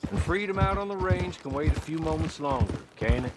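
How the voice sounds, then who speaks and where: An actor playing a courteous, discreet manservant in a Western video game, formal and politely dry. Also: male voice, a little high, lively intonation, brisk, heavy background noise, lightly acted. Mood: dry, businesslike. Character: courteous, discreet manservant to a wealthy frontier widow. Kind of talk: dramatic video game dialogue.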